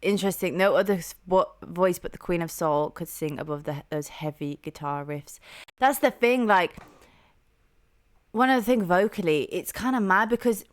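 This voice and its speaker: A young woman with a soft, slightly low voice reacts to music in a casual, chatty way. She talks enthusiastically and fills her thoughts with "like" and "um". She sounds like a relaxed host talking straight to her viewers. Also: moderate pace. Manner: chatty and enthusiastic, heavy use of "like" and "um".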